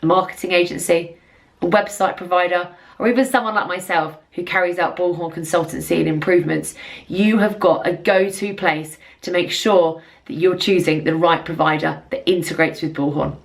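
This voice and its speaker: Female presenter, lowish pitch, semi-scripted software review, earnest and emphatic delivery. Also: steady tone, normal speed, creaky. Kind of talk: semi-scripted explainer to camera.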